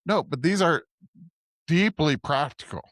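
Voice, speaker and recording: Pria pembawa siniar, bicaranya tersendat-sendat. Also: male voice, on the high side, animated, fairly bright tone, no echo, clean studio sound. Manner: halting, searching for words, often asks "right?". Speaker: podcast co-host, preacher. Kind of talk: conversational scripture discussion.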